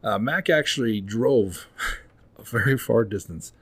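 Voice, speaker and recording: A man's voice: fairly low pitch, lively intonation, fairly bright tone, husky. A man hosting a podcast, rambling in casual chat with lots of fillers. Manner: rapid, rambling, fills with "you know what I mean". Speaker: podcast host.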